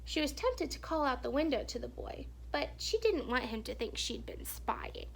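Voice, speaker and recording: Woman, high voice, children's picture-book narration, expressive, hushed dramatic phrasing. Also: normal speed, vocal fry, a little hiss or hum, low-quality recording. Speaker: teacher or reader reading a children's picture book aloud. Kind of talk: expressive storybook read-aloud narration.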